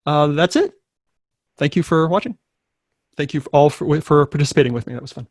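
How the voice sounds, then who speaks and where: A man narrating stage directions and hosting a table read in a low-key, casual way. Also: brisk, no echo, clean studio sound.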